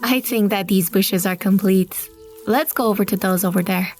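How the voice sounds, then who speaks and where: A woman's voice: mid-range voice, moderate pace, a little mellow, faint music behind, lightly acted. A woman playing a practical young mother. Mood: plain. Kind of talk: audio drama acting.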